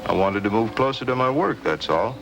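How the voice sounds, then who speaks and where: An actor playing an estranged husband under questioning, who answers in a casual, evasive way in an old radio drama. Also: male voice, fairly low pitch, expressive, brisk, gravelly, noisy background. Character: evasive, offhand estranged husband who runs a beach stand. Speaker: suspect husband. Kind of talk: 1950s radio drama acting. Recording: old radio broadcast with music.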